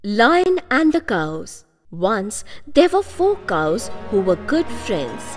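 A woman's voice: medium pitch, big pitch swings, slow pace, soft background music. A woman narrating children's moral stories from a script as a storyteller.

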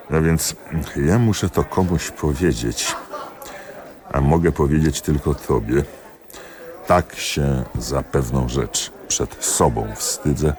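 A man acting in a radio play as a cynical, jealous middle-aged examining magistrate who speaks emphatically and haltingly. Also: extremely low pitch. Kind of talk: theatrical radio drama dialogue.

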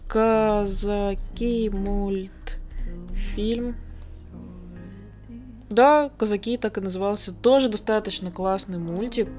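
A young woman artist chatting casually in a vlog, quick and self-deprecating, recorded at home at her computer desk. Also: medium pitch, darkish tone, soft background music. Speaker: artist and video blogger. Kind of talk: casual vlog chat while drawing. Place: home room with computer setup.

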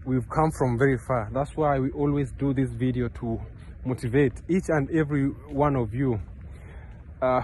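A man's voice: medium pitch, slight background noise, low-quality recording. A young man from Kenya talking earnestly to his phone camera while walking outdoors, rambling with many restarts and fillers. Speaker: vlogger. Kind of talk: casual vlog talk to camera. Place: outdoors on a park path beside a road. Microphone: phone camera held close.

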